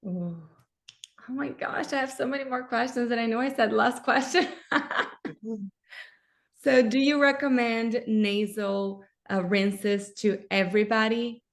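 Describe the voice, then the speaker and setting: A woman's voice: on the high side, measured pace. A woman hosting a live interview as a myofunctional therapist, asking eager, enthusiastic questions.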